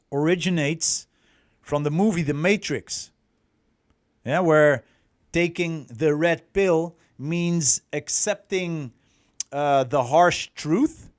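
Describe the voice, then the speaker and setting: A middle-aged man with a Dutch accent presenting as a coach, explaining things to viewers and often pausing to search for words. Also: fairly high pitch, smooth. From Netherlands. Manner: halting, word-searching, self-correcting delivery. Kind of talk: explanatory online talk to viewers.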